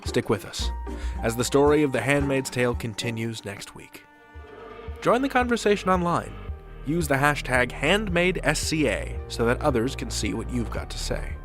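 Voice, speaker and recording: A man hosting a podcast, reading a scripted outro and credits in a measured, theatrical announcer style. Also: medium pitch, big pitch swings, a bit bright, faint music behind. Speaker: podcast host.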